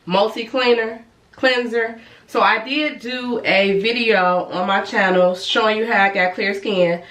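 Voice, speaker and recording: A woman's voice: medium pitch, steady tone, unhurried, a bit bright. Casual woman cosmetologist rambling about skincare, a bit congested, sounds far from the mic. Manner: rambling, self-correcting, chatty. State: slightly congested from a cold. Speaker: licensed cosmetologist, beauty vlogger. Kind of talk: casual product review and demonstration. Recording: echoey room, mic at a distance.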